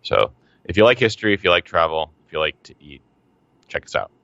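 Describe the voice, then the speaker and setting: Deep-voiced man, bright dry muffled sound. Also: sing-song, a little fast, vocal fry, no echo. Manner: enthusiastic storyteller, some ums. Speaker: podcast guest. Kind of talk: casual podcast conversation.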